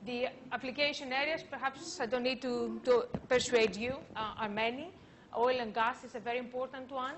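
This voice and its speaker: A woman lecturer giving an academic conference talk, speaking in a halting way with frequent filler sounds. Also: on the high side, steady tone, a bit bright, a little hiss or hum.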